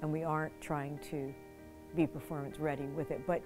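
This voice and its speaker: Low-voiced woman in reflective interview. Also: level tone, moderate pace. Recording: music behind the voice.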